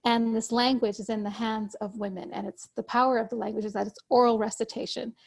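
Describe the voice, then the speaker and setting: A woman whose first language is Urdu speaks in a somewhat high voice with a gravelly, fry-laden edge. She explains things in a measured, thoughtful way, now and then restarting a phrase as she goes. It sounds like a video call. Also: level tone, a little mellow. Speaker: guest author.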